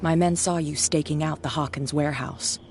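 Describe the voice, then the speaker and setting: A woman's voice: low-pitched, steady tone, moderate pace, a little mellow, slight background noise, close to an everyday voice. A woman voicing a ruthless bootlegger gang boss in a video game, cold, mocking and threatening. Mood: menacing.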